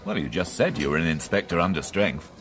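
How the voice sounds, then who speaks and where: An actor in a radio drama playing a wry, world-weary, pedantic police inspector. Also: male voice, low-pitched, very animated, fast, fairly bright tone, heavily acted. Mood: wry, reflective.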